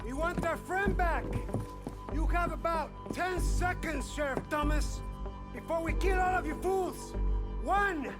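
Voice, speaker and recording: A menacing Wild West gang leader for a video game, taunting as he threatens. He calls out slowly in a very high voice. Loud music swells behind him. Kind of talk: video game western acting.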